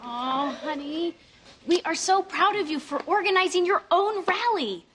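A woman's voice: extremely high pitch, lively intonation, a little slow, a bit bright, a little hiss or hum, full character voice. An actress in a sitcom playing a doting, gushing mother. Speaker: proud mother character. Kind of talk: sitcom acting.

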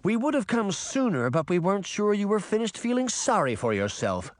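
Male reader as storybook wicked queen, scolding, emphatic, weary, lifted pitch, very animated. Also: brisk, no echo, clean studio sound. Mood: scornful. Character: Bunny, sharp-tongued wicked queen, weary and defiant woman.

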